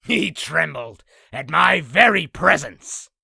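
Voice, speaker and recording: A man voicing a boastful raspy demon, telling an exaggerated battle story theatrically for a comic dub. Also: fairly high pitch, lively intonation, slow pace, bright, forceful tone, no room sound, studio quality, full character voice. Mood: boastful, grandiose. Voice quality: raspy, gravelly. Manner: theatrical, self-aggrandizing. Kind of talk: comic dub character narration.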